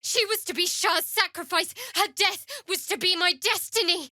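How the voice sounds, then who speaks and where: A woman playing a guarded, wry young cleric of a dark goddess in a video game, with a dry, sardonic and measured delivery. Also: extremely high pitch, no echo, full character voice. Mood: solemn, resolute. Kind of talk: video game character dialogue.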